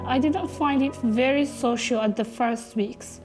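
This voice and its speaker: Young female student, higher voice, slow pace, interview testimony. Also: over music, rough recording. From Somalia.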